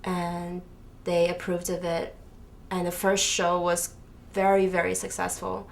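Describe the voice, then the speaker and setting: A woman's voice: lowish voice, monotone, unhurried. A young adult woman from Taiwan answering questions as an interview guest, explaining her work. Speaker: interview guest, student fashion designer. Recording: noisy room, clear background noise.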